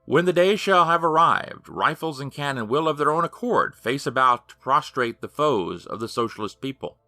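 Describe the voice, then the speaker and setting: A man's voice: medium pitch, lively intonation, moderate pace, sharp tone, no room sound, faint music behind. A man narrating an audiobook in a formal, emphatic, declamatory manner.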